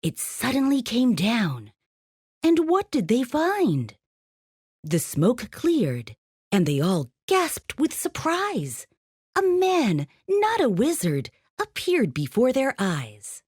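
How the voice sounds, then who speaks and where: A woman narrating a scripted rhyming children's story in an expressive, sing-song manner. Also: fairly high pitch, slow, husky, no echo, clean studio sound.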